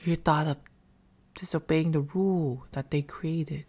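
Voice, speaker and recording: Man acting as a quiet eerie demon, very slow, half-whispered, coaxing, close-up ASMR roleplay, patient and theatrical. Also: a little high, fairly even intonation. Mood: hushed storytelling. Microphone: close binaural microphone.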